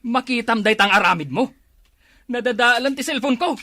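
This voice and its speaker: A man's voice: very high voice, normal speed, sharp tone. A man with an Ilocano accent playing an evasive, protesting husband in a comedy drama. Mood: irritated.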